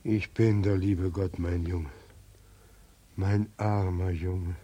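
Casting: frail, sorrowful old-man God, low mellow husky voice, plaintive, for vintage radio drama. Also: fairly even intonation. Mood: mournful. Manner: slow, plaintive, lamenting. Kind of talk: 1940s German radio drama acting.

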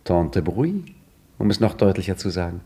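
An older actor playing an old caretaker and storyteller, dry and ironic, in a 1950s radio drama. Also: male voice, sits low, sing-song, moderate pace, mellow, slightly rough, full character voice. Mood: wry teasing. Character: old male caretaker, wry wise storyteller. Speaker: caretaker telling a tale.

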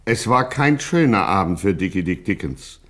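A man's voice: low voice, big pitch swings, moderate pace, fairly bright tone. A man narrating a scripted radio satire in a dramatic, solemnly pompous, mock-heroic manner.

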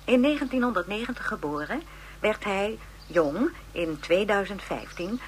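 A formal female narrator, acted by a woman reads scripted documentary material in a radio drama. Her intonation is animated and expressive, with a touch of noise on the recording. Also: mid-range voice, lightly acted. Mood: informative, wry. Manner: formal, expressive, measured storytelling. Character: female narrator of a biographical encyclopedia programme.

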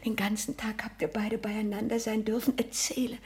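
Actress playing meddling neighbour woman, romantic, excitable, theatrical, breathy, intimate, 1960s German radio play. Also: a little high, moderate pace, close to an everyday voice. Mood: excited, rapturous. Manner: theatrical, urgent, intimate, slipping into breathy whispers.

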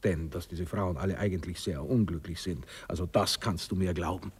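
An actor playing a prudish, moralizing young husband in a radio play, speaking in halting, self-important phrases. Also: male voice, deep voice, a little mellow, breathy edge, big-room sound. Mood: moralizing, agitated. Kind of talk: classic German radio play acting.

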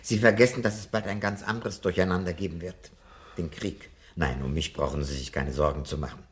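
An actor playing an older, learned professor in a radio drama, speaking in an earnest, deliberate and emphatic way. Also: male voice, deep voice, steady tone, lightly acted. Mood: resolute, grateful. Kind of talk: 1970 German radio drama acting. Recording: radio drama with faint background noise.

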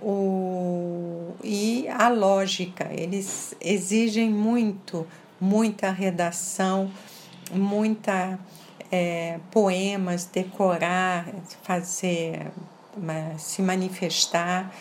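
Slow, measured woman with a slight French accent. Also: fairly low pitch, steady tone, slight background noise. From France. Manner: measured, stresses single words, often ends with "tá?". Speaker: interview guest. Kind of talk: casual interview conversation.

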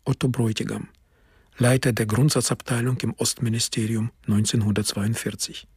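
A man's voice: medium pitch, fairly even intonation, normal speed, darkish tone, breathy edge, dry recording, clean studio sound. A man narrating a documentary radio drama, soberly announcing each speaker's name and role.

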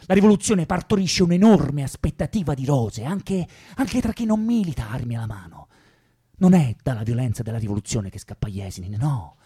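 An actor playing an impassioned young revolutionary man who orates rhetorically and deliberately in a radio drama monologue. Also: mid-range voice, animated, mellow, slightly rough, no echo, studio quality. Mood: reflective, fervent. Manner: rhetorical, deliberate, swelling and dropping. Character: impassioned young revolutionary man orating about Russian poets.

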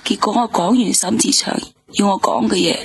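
An actress playing a weary young nightclub hostess in a radio drama, speaking Hong Kong Cantonese, tired and defensive, sometimes dropping to a whisper. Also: female voice, medium pitch, moderate pace, vocal fry, slight background noise, close to an everyday voice. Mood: weary, bitter.

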